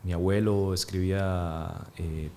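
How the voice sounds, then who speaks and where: A man in his thirties with a Costa Rican accent answers interview questions in a slightly low voice. He thinks aloud as he talks, pausing often and reaching for his words. His tone stays calm and reflective. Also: a little hiss or hum. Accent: Costa Rican Spanish. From Peru. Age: 30s. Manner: thoughtful, hesitant, many fillers. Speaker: actor and guest. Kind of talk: casual interview.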